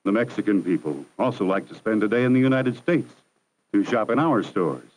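A man with a fairly low voice narrates a 1960s educational film. His delivery is measured, formal and instructive, the steady voice of a scripted documentary. The sound comes from an archival film soundtrack. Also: low-quality recording.

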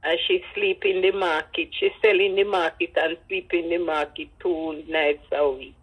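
A woman's voice: on the low side, steady tone, normal speed, husky, phone-quality sound. An older woman with a Jamaican accent telling personal stories in patois, acting out the dialogue. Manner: speaks in patois, acts out dialogue. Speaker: grandmother telling her life story. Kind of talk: personal storytelling.

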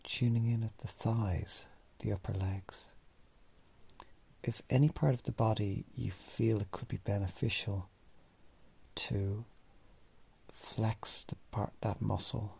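A man leading a guided body scan meditation in gently instructing, unhurried phrases with long pauses, his husky, breathy voice often trailing off into a near-whisper. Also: on the low side, steady tone, a little hiss or hum. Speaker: mindfulness meditation teacher.

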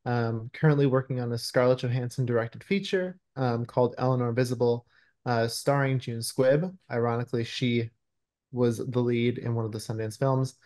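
A man's voice: mid-range voice, steady tone, moderate pace, darkish tone. A man in his thirties talking casually as a screenwriter guest in an interview on a video call. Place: room at home, indoors.

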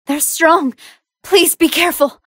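A woman's voice: very high voice, measured pace, sharp tone, dry recording, heavily acted. A woman playing a good-hearted female android fighter in an anime fighting game, earnest and polite, with emphatic battle lines. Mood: earnest, troubled.

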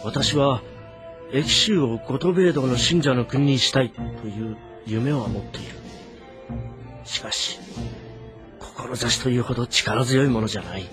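A man playing an earnest, composed warrior commander who speaks in a measured, probing way in a game drama. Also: medium pitch, over music, natural voice. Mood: reflective. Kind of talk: dramatic audio-novel game acting.

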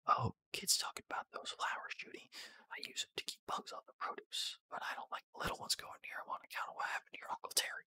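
A reader voicing a folksy, easygoing middle-aged male farmer rabbit from an animated film script in a breathy whisper. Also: quick pace. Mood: folksy, puzzled.

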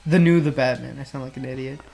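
A man's voice: mid-range voice, fast, vocal fry, darkish tone, faint music behind. A man joking and riffing casually over a cartoon as a co-host, silly, profane and often laughing. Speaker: co-host of a comedy reaction show.

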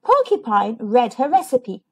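Female children's read-aloud host, high-pitched, very lively intonation, expressive and sing-song. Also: husky. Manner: animated, sing-song delivery with drawn-out emphasis. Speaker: children's storybook reader, channel host.

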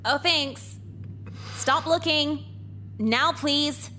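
A cynical teenage high school girl with a high, bright voice. She is blunt and dismissive, with a foul mouth, in visual novel game style. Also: very slow, slight background noise, exaggerated voice. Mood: annoyed.

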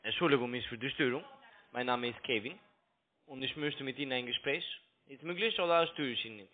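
A man with a foreign accent and non-native, halting German with broken grammar, making an unscripted sales call over the phone as a broker. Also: mid-range voice. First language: not German. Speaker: cold caller posing as a broker. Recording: tinny narrow phone line.

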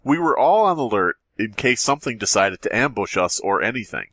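A man's voice: lowish voice, big pitch swings, fairly quick, fairly bright tone, dry recording. A man co-hosting a podcast, riffing and joking casually between bits of reading. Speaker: podcast co-host. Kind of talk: casual comic banter and reading.

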